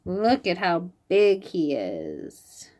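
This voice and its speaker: A woman reading a storybook aloud for young children, expressive and deliberate, stressing key phrases. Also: on the low side.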